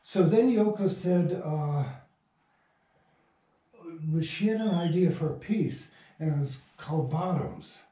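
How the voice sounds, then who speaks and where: Older male interviewee, soft mellow tone, slightly high pitch, loose rambling storyteller. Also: a little slow, long pauses. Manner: rambling, circling back mid-sentence. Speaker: interview guest. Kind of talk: casual anecdotal storytelling. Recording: echoey room, distant mic.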